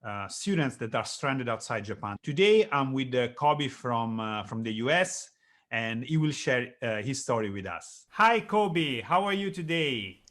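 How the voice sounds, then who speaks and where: Male interview host, English speaker, friendly, halting, lots of uh. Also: mid-range voice, expressive, a bit bright. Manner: friendly, hesitant with frequent uh fillers, non-native phrasing. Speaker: YouTube channel host, interviewer. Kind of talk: video call interview.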